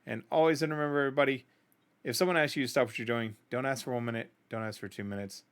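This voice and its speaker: A man's voice: medium pitch, steady tone, rapid-fire, smooth. A middle-aged man hosting a music reaction video, talking casually and conversationally, giving opinions and often checking in with the listener. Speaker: host of a music reaction channel.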